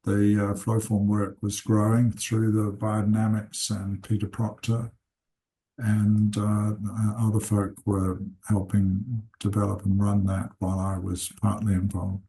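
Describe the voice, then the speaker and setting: Slow, low-voiced older man. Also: level tone, warm tone, rough recording. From England, raised in New Zealand. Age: 70s. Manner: slow, unhurried, with frequent "uh" pauses. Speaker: seminar teacher and consultant. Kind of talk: unscripted personal introduction.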